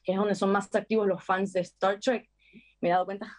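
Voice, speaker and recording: An actress with an Argentine accent chatting casually in an interview, full of fillers and lively retellings. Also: female voice, mid-range voice, a little fast. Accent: Argentine Spanish. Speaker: actress guest.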